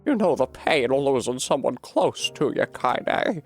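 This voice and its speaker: A man voicing a vulgar, fierce tiny old grandmother who talks gruffly and coarsely. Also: medium pitch, animated, unhurried, faint music behind. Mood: stern.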